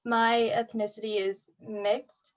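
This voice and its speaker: A woman's voice: on the high side, little pitch movement, takes it slowly. A teenage girl co-hosting a podcast, chatting casually and laughing often. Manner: chatty, laughs often, lots of "like" and "you know". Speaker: podcast co-host. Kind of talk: casual chat. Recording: slightly dull lo-fi audio.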